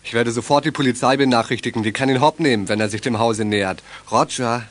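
A man's voice: medium pitch, fast talker, a little hiss or hum, close to an everyday voice. A young male actor playing a cool-headed, helpful teenage radio hobbyist in a children's audio drama. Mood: cool, matter-of-fact.

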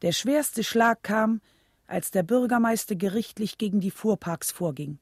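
An actress playing a grave widow recounting a civil rights struggle in a measured, earnest radio play narration. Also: female voice, mid-range voice, a little mellow, no room sound, clean studio sound, close to an everyday voice. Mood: sober, tense.

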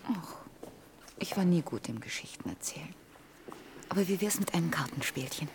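An actress playing a gentle, motherly survivor in a German radio play, with a hesitant, kindly, murmuring delivery. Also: lowish voice, lively intonation, normal speed, noisy background, close to an everyday voice. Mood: coaxing, playful. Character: Elsa, gentle motherly deported woman, later aging survivor.

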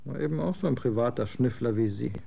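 A scornful young rocker son of twenty, blunt slang, gravelly dark voice; radio play. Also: male voice, medium pitch. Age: 20s. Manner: slangy, scornful, quick to get excited. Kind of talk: German radio drama acting.

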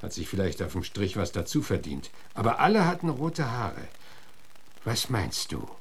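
A husky, low-voiced frontier marshal, blunt and coarse-mouthed. His delivery swings freely in pitch, in the manner of a western radio play. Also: huge pitch swings, normal speed, heavily acted. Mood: gruff questioning. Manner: blunt, swearing, questioning. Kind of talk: German radio drama acting.

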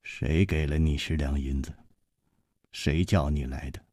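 A man voicing a calm male swordsman hero who speaks quietly and without hurry. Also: a bass voice, dry recording, studio quality, pitched below the performer's own voice, exaggerated voice. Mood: cool. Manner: quiet, unhurried and restrained.

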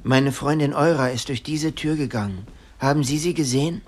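A man's voice: medium pitch, normal speed, noisy background, natural voice. An actor playing an anxious, lovesick young man searching for his girlfriend in a surreal radio drama, bewildered and insistent. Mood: searching, confused.

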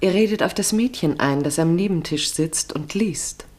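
A woman serves as second narrator in a radio play. Her voice is a little low for a woman. She observes and prompts in short, scripted phrases. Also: moderate pace, darkish tone.

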